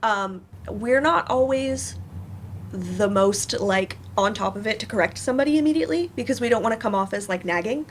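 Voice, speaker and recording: A woman's voice: medium pitch, normal speed. Hesitant, informal woman on a panel. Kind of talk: casual panel discussion. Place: live panel event in a room. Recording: faint room noise.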